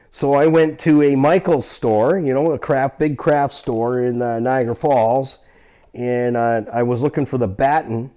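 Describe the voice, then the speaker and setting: Mellow-voiced man explaining repairs. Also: medium pitch. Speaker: vehicle restorer and host. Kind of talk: hands-on repair explainer, casual talk to viewers.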